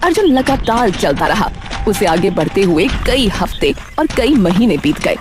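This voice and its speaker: A woman's voice: medium pitch, sing-song, moderate pace, background noise. A woman reading scripted narration for a cartoon story as its storyteller. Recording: music behind the voice.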